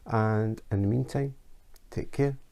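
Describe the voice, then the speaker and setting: A Scottish man hosting a casual how-to craft vlog, chatty and relaxed, with frequent ums and uhs. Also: fairly low pitch, unhurried, vocal fry. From Scotland. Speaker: YouTube craft channel host. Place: his home in Denmark.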